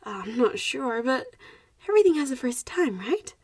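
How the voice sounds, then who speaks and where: A woman's voice: high voice, big pitch swings, moderate pace, darkish tone, husky, dry recording, clean studio sound, heavily acted. Woman acting an anxious vampire schoolgirl, slightly distant. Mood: reassuring, apologetic. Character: anxious, protective popular vampire schoolgirl, student representative. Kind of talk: audio roleplay addressed to the listener.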